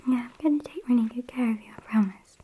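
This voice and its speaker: A British woman voicing a sassy, politely menacing vampire kidnapper in a breathy, deadpan ASMR whisper. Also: a little high, a little hiss or hum, lightly acted, poor audio. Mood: chatty, sassy. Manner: unhurried, chatty, deadpan.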